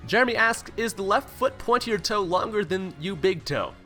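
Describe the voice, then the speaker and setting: A man's voice: high-pitched, expressive, moderate pace, pushed and bright, faint music behind. A young man from California, a YouTuber reading out viewer questions and answering them in a joking, laid-back way, swearing casually. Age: young adult. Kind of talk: casual Q&A voice-over. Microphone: home recording mic, no webcam.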